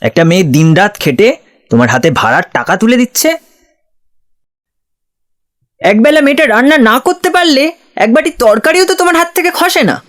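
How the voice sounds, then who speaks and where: Man acting a high-voiced, earnest schoolmaster. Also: sing-song, moderate pace, pauses often, a bit bright, full character voice. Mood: indignant scolding. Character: kind, protective male schoolmaster, mentor figure. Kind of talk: audio story acting.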